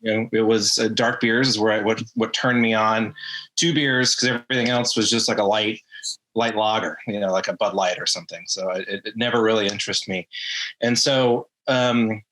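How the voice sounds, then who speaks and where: A man's voice: lowish voice, fairly even intonation, moderate pace, a bit bright, rough recording. A man from Atlanta, a craft brewer, telling his background in a casual interview with many ums and restarts. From Atlanta, USA.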